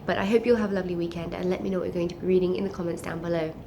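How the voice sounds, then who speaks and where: A woman's voice: on the low side, fairly even intonation, racing, background noise. A woman with a mixed South African and English accent, stuffy and nasal from a cold, chatting enthusiastically about books to camera. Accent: mix of South African and English. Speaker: book vlogger. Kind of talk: casual book chat to camera.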